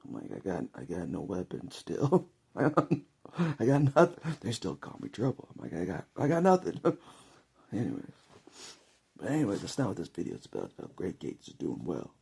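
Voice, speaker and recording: American male vlogger, very husky and gravelly, hugely animated pitch, rambling and jokey with frequent chuckles. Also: normal speed. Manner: chuckles often, jokey, conversational. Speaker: vlogger giving political opinion. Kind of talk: casual rambling monologue to camera.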